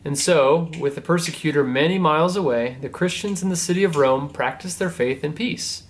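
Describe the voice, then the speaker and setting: A man, a priest, reading a saint's storybook aloud to children in a measured way with casual asides. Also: on the high side, slight background noise.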